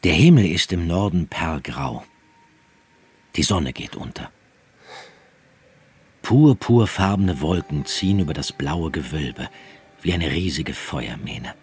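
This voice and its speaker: A man narrating a radio drama in a measured, poetic, descriptive way, as a grave scene-setter. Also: extremely low pitch, animated, a little mellow, breathy edge, exaggerated voice. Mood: solemn, descriptive. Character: grave, unhurried scene-setting male narrator.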